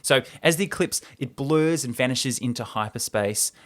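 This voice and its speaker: A man's voice: medium pitch, normal speed, a bit bright. A man co-hosting a show, retelling a film script in an informal, enthusiastic, chatty way. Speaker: podcast co-host.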